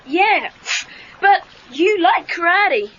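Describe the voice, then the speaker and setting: A woman's voice: very high voice, big pitch swings, slow, fairly bright tone, full character voice. A woman voicing an eager, questioning teenage boy karate pupil in a read-along cassette drama, earnest and pressing. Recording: dull cassette tape sound.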